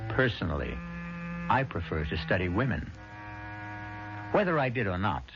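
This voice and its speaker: A man narrating a 1970s radio mystery drama as its urbane host, formal and wry in delivery. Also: fairly low pitch. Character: urbane, wry male storyteller of mystery tales. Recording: dull vintage radio recording with music.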